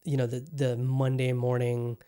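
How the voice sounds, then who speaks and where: Man, podcast host, casual solo chat, upbeat, rambling, repeats himself, mid pitch. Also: monotone, normal speed, mellow, clean tone.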